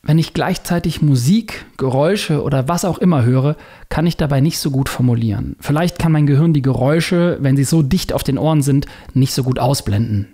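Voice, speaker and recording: A man's voice: medium pitch, brisk, warm tone. A man narrating a self-experiment video as its creator, in scripted documentary style with casual, personal remarks.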